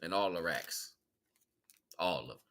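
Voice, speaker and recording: An American man hosting a livestream, giving an informal spiritual monologue in an animated, colloquial, preaching way with repeated phrases. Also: deep voice, measured pace. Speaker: livestream host.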